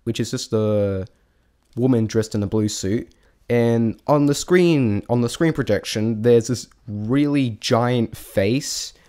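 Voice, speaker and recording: A man giving a casual, unscripted review in a halting, rambling way, often correcting himself mid-thought. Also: mid-range voice, dark tone. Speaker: vlogger reviewing a stage show.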